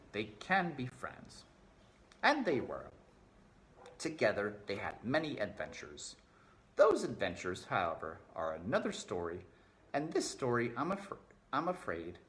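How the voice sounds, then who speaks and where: A man reading a children's novel aloud with deliberate, dramatic expression, addressing the listener directly. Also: lowish voice, fairly bright tone. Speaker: storybook reader.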